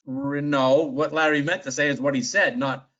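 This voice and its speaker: A man with a slightly high voice chairs a town planning board meeting, plain-spoken and brisk. The audio is muffled and lo-fi, like local cable TV. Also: steady tone, fairly bright tone. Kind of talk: meeting discussion.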